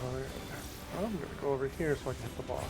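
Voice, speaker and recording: A man's voice: medium pitch, lively intonation, racing, very noisy. A man on a gaming team, giving casual callouts and commentary during play. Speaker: gaming teammate.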